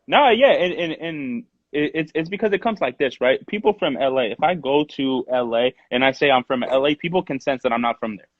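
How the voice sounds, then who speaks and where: A man's voice: medium pitch, fairly quick, few pauses, dry recording. A young man from Long Beach, talking hesitantly in a casual live chat, often stammering as he starts his thoughts. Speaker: guest. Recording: dull dry lo-fi audio.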